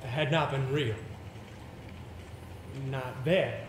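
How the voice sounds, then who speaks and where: A man playing a haunted, earnest gentleman narrating a ghostly ordeal on stage, with dramatic, weighted phrasing. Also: mid-range voice, very slow. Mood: haunted, grave. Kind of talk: theatrical amateur stage monologue. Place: theatre stage, recorded from the audience. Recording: echoey stage recording with faint noise.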